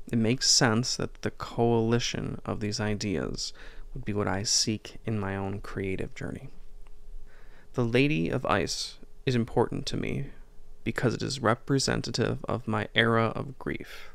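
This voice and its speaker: Reflective man with a creaky, dry-recorded voice. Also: lowish voice, steady tone, measured pace, dry recording. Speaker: podcast host. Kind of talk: reflective personal narration.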